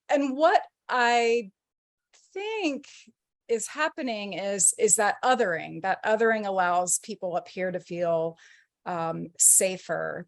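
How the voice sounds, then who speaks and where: Earnest woman with faint Southern accent. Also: medium pitch. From Atlanta, US South. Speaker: audience participant. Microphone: video call.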